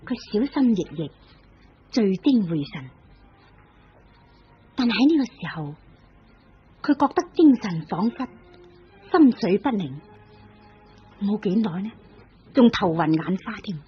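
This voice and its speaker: Brief: Hong Kong Cantonese woman narrating a ghost tale, lively pitch, muffled vintage radio sound with soft music. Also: unhurried, pauses often, breathy edge. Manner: expressive, suspenseful pacing. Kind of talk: dramatic storytelling narration. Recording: old muffled radio broadcast with music behind.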